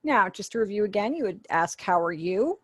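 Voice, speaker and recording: A woman's voice: mid-range voice, lively intonation, brisk, husky, no echo. A woman giving an online sign language lesson over a webinar call, patiently explaining and acting out example phrases. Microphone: webinar video call.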